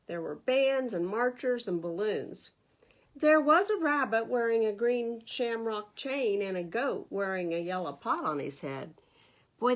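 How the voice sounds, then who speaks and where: Slow, expressive woman reading a picture book. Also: mid-range voice. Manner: expressive, questioning, explains pictures to young children. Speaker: library storytime host. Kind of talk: children's picture-book read-aloud with asides.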